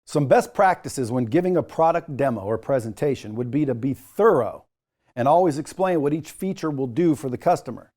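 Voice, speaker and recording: A man's voice: medium pitch, expressive, a little fast, darkish tone. A man presenting a training lesson as an instructor, speaking directly to the learner in an emphatic, coaching way with informal asides. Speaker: sales trainer, course instructor.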